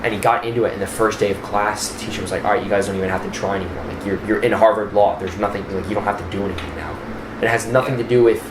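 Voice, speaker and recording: Male podcast talker, rapid delivery, casual and opinionated, roomy noisy recording. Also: lowish voice, steady tone. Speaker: podcast speaker. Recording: echoey, distant mic, noisy room.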